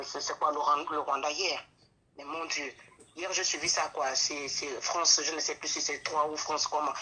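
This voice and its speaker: A man's voice: on the high side, bright, forceful tone, poor audio. A man with a Gabonese accent in an impassioned political discussion, emphatic and indignant, repeating his points for weight.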